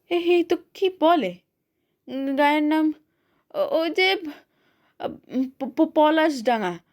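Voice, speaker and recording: A woman's voice: high voice, darkish tone, voice pushed up. A woman voicing a grumbling old village headman who rambles and stumbles over his words. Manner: rambling, halting speech.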